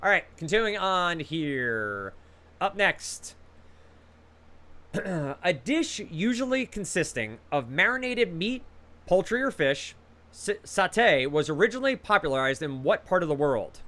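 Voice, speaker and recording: A man's voice: fairly high pitch, bright, forceful tone. A man hosting a trivia quiz over a video call, reading out questions with casual, encouraging banter between them.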